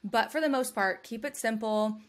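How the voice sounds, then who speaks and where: A woman's voice: fairly high pitch, moderate pace. A young adult woman from California hosting a podcast, giving advice in an upbeat, conversational way and laughing often. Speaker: podcast host. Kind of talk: casual advice chat.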